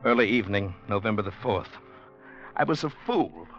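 A man's voice: lowish voice, lively intonation, moderate pace, close to an everyday voice. An actor playing an anxious lovelorn man in a radio drama, earnest, stammering and pleading, in 1940s radio style. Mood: haunted. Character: anxious lovelorn man trapped among sinister secret dwellers. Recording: dull vintage radio recording with music.

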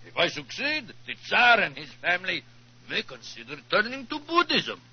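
An actor playing a haughty, indignant Tsarist envoy with a Russian accent, declaiming in a 1940s radio drama. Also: male voice, sits high, wildly swooping pitch, normal speed, breathy edge, full character voice. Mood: pompous. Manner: pompous, declamatory. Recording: muffled 1940s radio recording.

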